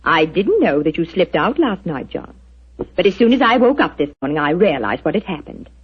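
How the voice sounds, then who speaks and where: A shrewd, composed wife in a vintage radio play. Her voice sits low and sounds very breathy, with an expressive lilt. The sound is dull, like an old broadcast. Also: a little fast, heavily acted. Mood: poised. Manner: poised and lightly teasing. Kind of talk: 1940s radio acting.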